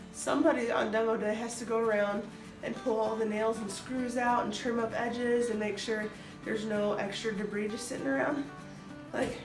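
A woman in her thirties with an American accent, chatting to the camera while she renovates an unfinished laundry room, filmed on a phone. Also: a little high. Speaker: vlog host. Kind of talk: casual home renovation vlog. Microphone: phone camera held at a distance. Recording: echoey room, distant phone mic.

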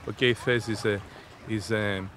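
A man in his fifties answering interview questions in a halting, deliberate, unscripted way. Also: on the low side, fairly even intonation, fairly bright tone. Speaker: interviewee, innovation zone representative. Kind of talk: spoken interview, unscripted explanation. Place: outdoors on a sea beach. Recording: outdoor seaside background noise.

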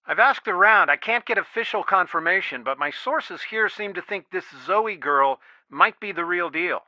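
A man's voice: high-pitched, lively intonation, moderate pace, pushed and bright, no room sound, lo-fi sound, exaggerated voice. A man voicing a stern, worried middle-aged father and lawyer in a video game, earnest, firm and protective. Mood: concerned. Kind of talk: video game voice acting, one side of phone conversations.

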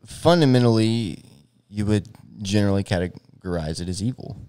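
A man co-hosting a podcast conversation, mostly giving brief affirming replies, at a boom mic in a small studio. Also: normal speed, mellow, smooth. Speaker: co-host. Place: small studio room with bookshelves. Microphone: boom mic close up.

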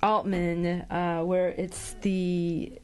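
A woman's voice: lowish voice, level tone, drawn-out words, darkish tone, soft background music. A woman hosting a casual live radio interview in a chatty, joking way, on a studio microphone. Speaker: radio show host. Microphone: studio broadcast microphone.

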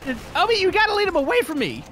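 A woman's voice: very high-pitched, wildly swooping pitch, very fast, a bit bright, faint music behind. A woman bantering with friends during a co-op game, teasing and exclaiming. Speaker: gamer playing co-op zombie game. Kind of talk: excited gameplay banter with friends.

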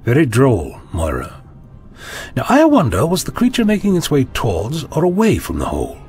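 An actor playing an eccentric, curious, self-assured adventurer in an audio drama, musing and wry. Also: male voice, mid-range voice, huge pitch swings, moderate pace, a little mellow, faint music behind.

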